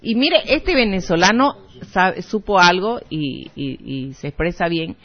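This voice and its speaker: A man's voice: high voice, sing-song, a little slow, muffled recording. A man with a Camba accent from Santa Cruz, hosting a casual chat on air in a chatty, hesitant way with many fillers. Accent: Bolivian Camba, Santa Cruz. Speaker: radio or TV host.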